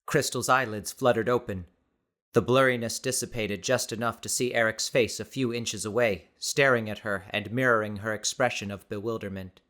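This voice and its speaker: A man narrating an audiobook story in a dramatic, measured and expressive reading style. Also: lowish voice.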